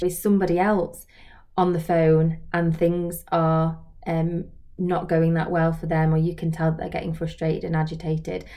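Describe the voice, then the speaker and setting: A woman with a soft-edged, mellow voice that sits a little low. She talks as a trainer giving an informal lesson, explaining things reflectively and often posing questions directly to the listener. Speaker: social work trainer and video host. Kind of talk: informal instructional talk to camera.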